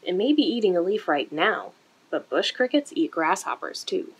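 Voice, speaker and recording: A woman reading a children's picture book aloud in an expressive, deliberate way for young listeners, recorded indoors. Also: fairly low pitch, slight background noise. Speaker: children's story-time reader for a museum. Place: indoor room.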